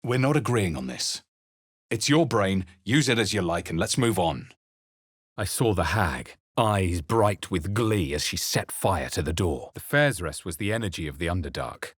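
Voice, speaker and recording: A battle-hardened hero of a fantasy video game, brooding and measured. The voice is fairly low and husky, recorded very dry and close. The delivery is dramatic, like a man who has seen many fights. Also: expressive, no room sound. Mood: irritated. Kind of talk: dramatic fantasy game voice lines and first-person narration.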